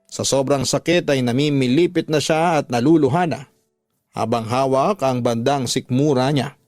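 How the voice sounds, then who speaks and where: Male narrator for radio drama, medium pitch, measured dramatic first-person storytelling, laid over a music bed. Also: a little mellow, no room sound, clean studio sound.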